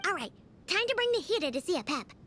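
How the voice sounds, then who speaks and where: A woman voicing a perky, childlike fairy companion in an anime game, speaking with a squeaky voice. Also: sing-song, fast talker, a bit bright, slight background noise, full character voice. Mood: musing. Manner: speaks of herself in third person, perky. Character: small chirpy fairy girl companion and guide.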